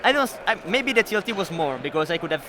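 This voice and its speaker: A man's voice: high-pitched, very animated, quick pace. Hesitant Italian-accented man, crowd behind. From Italy. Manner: hesitant, many fillers, explains carefully. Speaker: tournament player. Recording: crowd noise outdoors.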